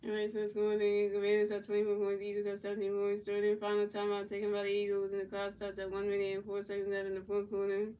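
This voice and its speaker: A woman's voice: medium pitch, clear, resonant tone, distant mic. A woman streamer doing play-by-play commentary of a football video game and answering her chat in casual slang. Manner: announces plays in a measured, even delivery.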